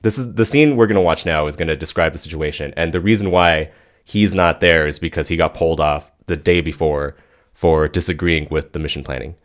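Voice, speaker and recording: A man's voice: very deep voice, brisk. A man hosting a casual talk, explaining things in an informal, hesitant way. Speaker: host introducing and explaining clips.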